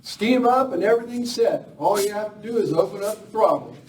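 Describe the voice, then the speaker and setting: A man's voice: high-pitched, expressive, a little fast. A man playing an eager, bustling, folksy train fireman in an amateur western radio play. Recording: roomy distant live recording with noise.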